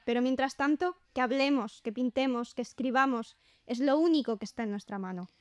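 A woman playing a bold, higher-voiced nonconformist painter. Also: fairly quick, no echo, lightly acted. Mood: defiant. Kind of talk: scripted audio drama dialogue.

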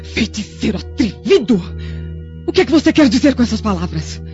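A woman's voice: fairly high pitch, sing-song, brisk, a little mellow. A woman playing a haughty, hot-tempered young Roman noblewoman in theatrical radio drama style, imperious and indignant. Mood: outraged, threatening. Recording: muffled lo-fi radio drama with music.

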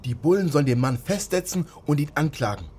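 A man's voice: fairly high pitch, expressive, a little fast, darkish tone, a little hiss or hum, natural voice. An actor playing a wary young man living illegally, in naturalistic radio drama dialogue and inner monologue. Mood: focused. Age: young adult.

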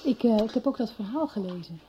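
An actress with a husky voice playing an earnest, opinionated woman in a conversational radio soap. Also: on the high side, expressive, quick pace, mellow. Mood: earnest, admiring. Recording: dull 1990s radio recording.

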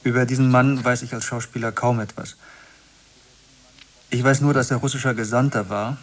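A man's voice: mid-range voice, fairly even intonation, normal speed, fairly bright tone, lightly acted. Male actor as sober, reflective play narrator. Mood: matter-of-fact. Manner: matter-of-fact, reflective. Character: actor stepping out of role as sober explanatory narrator.

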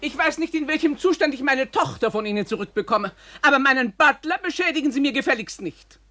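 A high, swooping voice full of upper-class command, an imperious lady mother in a radio play. She talks down to everyone with bossy grandeur. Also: lively intonation, fast talker, sharp tone, dry recording. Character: domineering aristocratic mother, a lady. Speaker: Lady Westwich.

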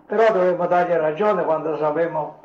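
An elderly man, a grandfather, informally recounting his memories as a veteran in short, halting phrases. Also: a little high, reverberant, dull audio. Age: older, a grandfather. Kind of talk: informal spoken reminiscence.

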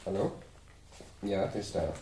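An actor playing a casual, matter-of-fact police assistant in a 1970s German radio crime drama. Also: male voice, low-pitched, unhurried, warm tone. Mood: casual, amused. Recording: roomy, faint noise, off-mic.

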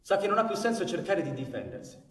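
A man's voice: high-pitched, very animated, fast, far from the mic. An actor playing an earnest working-class factory man and father, telling of hardship in a dramatic theatrical radio monologue. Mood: subdued, grave. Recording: echoey hall with live music behind.

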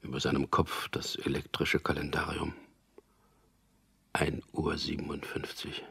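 An actor in a radio drama playing an anguished, bitter condemned man in his fifties, with a gravelly, often breathy voice and intense delivery. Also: rumbling low, little pitch movement, a little slow, bright, forceful tone, in a whisper, exaggerated voice. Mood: anguished, haunted. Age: 50s. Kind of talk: radio drama acting, dialogue and intimate inner monologue.

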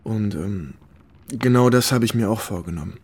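An actor playing a modest young American war veteran and writer in a radio play, hesitant and understated. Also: male voice, on the low side, moderate pace, darkish tone, breathy edge. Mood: hesitant, earnest. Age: young adult.

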